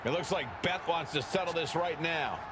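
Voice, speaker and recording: An excited play-by-play announcer in a wrestling game, voiced by a man. His voice runs high as he calls the action. Loud arena noise fills the background. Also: normal speed, lightly acted. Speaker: commentator. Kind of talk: sports commentary in a game. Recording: very noisy arena commentary.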